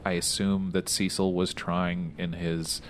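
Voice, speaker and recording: A man's voice: sits low, flat delivery, measured pace, slight background noise. A man improvising in-character dialogue as a tabletop roleplaying player, earnest and somewhat hesitant.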